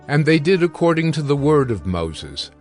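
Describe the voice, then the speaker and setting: A man giving scripted scripture narration in a measured, solemn manner. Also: fairly high pitch, big pitch swings. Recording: background music under narration.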